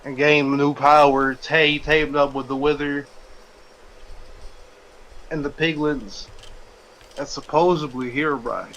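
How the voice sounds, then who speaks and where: Male gamer, slightly higher register, casual group banter, rambling storytelling delivery. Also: steady tone, takes it slowly, pauses often, a bit bright, a little hiss or hum, low-quality recording. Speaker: let's play gamer. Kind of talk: casual joking group chat while gaming.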